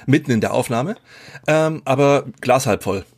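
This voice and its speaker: A man's voice: medium pitch, expressive, moderate pace. A man in his forties from East Germany, a podcast guest chatting casually with self-deprecating jokes. He has a cold and sounds congested. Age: 40s. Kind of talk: casual interview chat.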